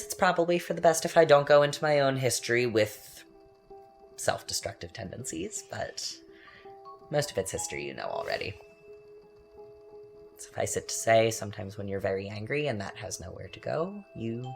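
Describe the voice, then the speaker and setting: A man acting as a bitter, taunting young man in a confessional dramatic monologue, with a sardonic, halting, conversational delivery. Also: mid-range voice, vocal fry, fairly bright tone. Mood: wry, defensive. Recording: music under the voice. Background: music.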